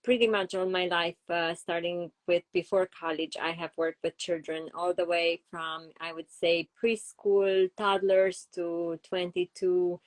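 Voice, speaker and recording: A woman's voice: on the low side, flat delivery, measured pace, few pauses, poor audio. A middle-aged woman from Romania with a Romanian accent, a child psychologist being interviewed, explaining ideas through examples with frequent fillers. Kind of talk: interview conversation.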